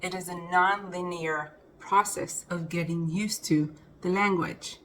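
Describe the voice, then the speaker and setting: Lower-pitched woman teaching, emphatic phrasing. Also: fairly even intonation, measured pace, pushed and bright, a little hiss or hum. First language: Hebrew. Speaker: Hebrew teacher, host. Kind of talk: explanatory talk to camera.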